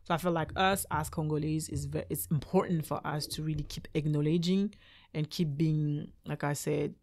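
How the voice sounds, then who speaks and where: A young woman raised in Belgium, a French native speaker, chatting as a guest on a podcast in a small studio, animated and gesturing with her hands. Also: low-pitched. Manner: animated, talks with her hands, quick laughs. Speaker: guest, choreographer and dancer. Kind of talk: casual interview chat. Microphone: studio mic on boom arm.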